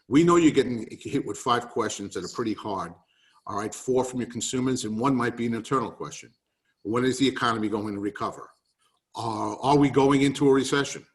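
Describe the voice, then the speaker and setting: A man with a New York accent giving an emphatic, rallying business talk on a video call. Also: mid-range voice. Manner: emphatic, rallying, repeats key points. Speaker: webinar presenter.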